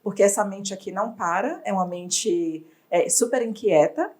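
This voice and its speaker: A woman from Bahia, an entrepreneur telling her story in an interview, reflective and expressive. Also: mid-range voice, moderate pace. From Bahia, Brazil. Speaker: entrepreneur guest.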